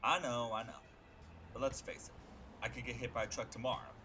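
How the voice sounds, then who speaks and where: A man's voice: medium pitch, fairly even intonation, moderate pace, music in the background, voice pushed up, close to an everyday voice. Man playing a chatty, slangy streetwise hitman. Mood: reassuring. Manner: slangy, casual, blurting. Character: chatty, awestruck streetwise male hitman, brash but friendly. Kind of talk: dramatic comic-book dub dialogue.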